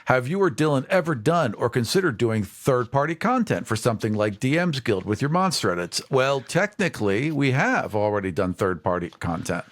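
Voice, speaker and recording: A man co-hosting a podcast, reading listener questions and telling a lively game story with laughs. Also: medium pitch, brisk, hardly any pauses. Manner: animated storyteller, laughs easily. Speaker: podcast co-host.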